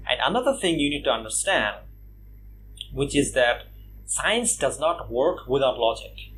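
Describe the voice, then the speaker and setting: A man from Bangladesh giving casual response commentary on a video, mocking his opponent with scoffing laughs and lots of "uh" and "you know" pauses. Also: medium pitch, very animated, a bit bright, a little hiss or hum. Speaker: YouTube commentator.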